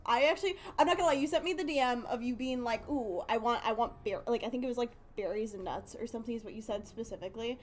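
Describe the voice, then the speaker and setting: A woman game streamer with a slightly high voice. She chats casually and rambles in a loose, giggly, informal manner. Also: fast, hardly any pauses, slight background noise. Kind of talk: casual livestream chat.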